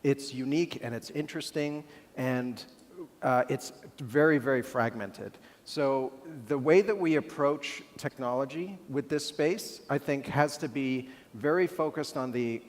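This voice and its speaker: A man on a conference panel speaks through many fillers and restarts before pressing his points firmly. His voice sits in a middle range and rings with the long reverb of a large hall. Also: medium pitch.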